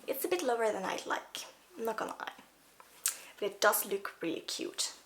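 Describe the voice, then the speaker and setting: A woman's voice: mid-range voice, animated, moderate pace, slight background noise. A young woman from the Netherlands, chatting casually through a clothing walkthrough with fillers and asides while she is losing her voice. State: losing her voice, sore throat. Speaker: fashion vlogger. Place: indoors, small plain room.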